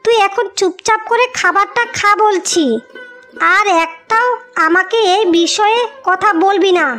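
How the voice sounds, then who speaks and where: A woman acts out a devoted sister from a cartoon fairy tale. The voice is extremely high and earnest, pleading with emphasis. Soft music plays beneath it. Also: a little slow, heavily acted. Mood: stern, protective.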